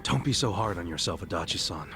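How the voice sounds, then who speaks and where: Lively, earnest ex-yakuza man. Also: low voice, fast talker, background noise. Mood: reassuring, teasing. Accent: American. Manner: plain-spoken, streetwise, encouraging. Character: loyal, earnest, warm-hearted ex-yakuza man. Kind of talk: video game cutscene dialogue.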